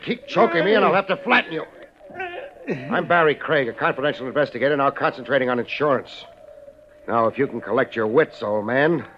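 A man's voice: mid-range voice, animated, fairly quick, slight background noise, lightly acted. A man playing a wry, laid-back, hard-boiled private investigator in a 1950s radio detective drama, talking in clipped, sardonic slang. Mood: tough, sardonic. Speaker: lead actor and narrator of a detective series. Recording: muffled vintage 1950s radio recording with music.

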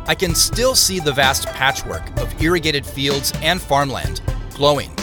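A man narrating a scripted audio drama in the first person as a reflective investigator, with measured, descriptive storytelling. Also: on the high side, lively intonation, over music. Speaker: narrator and lead character.

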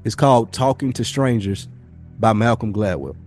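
A man's voice: on the low side, normal speed, a little mellow, faint music behind. A man in a casual podcast discussion, swearing freely and acting out imagined exchanges as he tells his point. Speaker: podcast speaker.

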